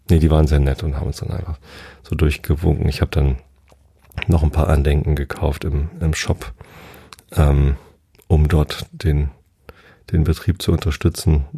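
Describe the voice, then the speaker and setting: A man of forty-five telling a travel story casually, in a relaxed, rambling way and often pausing to search for words. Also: a bass voice, vocal fry, breathy edge.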